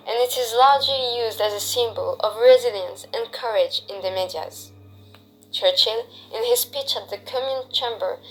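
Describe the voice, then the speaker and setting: A woman's voice: a little high, a bit bright, poor audio. A woman reads scripted documentary narration in the manner of a newsreel. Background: background music.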